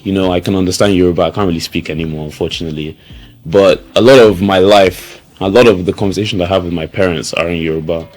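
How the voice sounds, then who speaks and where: A young man speaks in a very low voice, relaxed and unhurried, often circling back with "you know" and false starts. Soft music plays faintly behind him. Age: young adult. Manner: relaxed, many fillers and restarts. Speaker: student. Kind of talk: interview answers.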